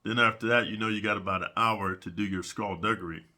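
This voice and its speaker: A man's voice: on the low side, fairly even intonation, quick pace, sharp tone. A middle-aged man telling prison stories in a rambling, casual monologue, sniffling from allergies and dropping "man" and "dude" all the time. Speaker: prison storyteller.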